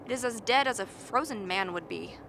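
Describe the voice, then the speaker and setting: A woman's voice: on the high side, a little fast, fairly bright tone, a little hiss or hum, close to an everyday voice, poor audio. A woman playing an earnest, impatient biologist in a radio drama, lecturing and argumentative. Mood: insistent, lecturing. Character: earnest, impatient expedition biologist defending a frozen creature. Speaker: actor in a radio play.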